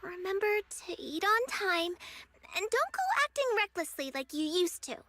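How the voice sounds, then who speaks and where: Very high, fussing young girl. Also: big pitch swings, normal speed, bright, forceful tone, faint music behind, full character voice. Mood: caring, nagging. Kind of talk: video game voice acting.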